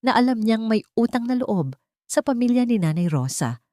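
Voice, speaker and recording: A woman's voice: on the high side, sing-song, normal speed, dark tone, dry recording, clean studio sound. A woman reading a scripted, dramatic romantic story as a narrator.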